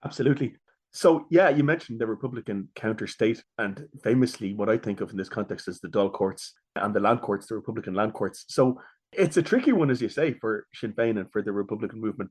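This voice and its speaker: An Irish man hosts a history podcast in a conversational interviewing style. His voice is on the low side and rough-edged, and he moves briskly through his points. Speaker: podcast host.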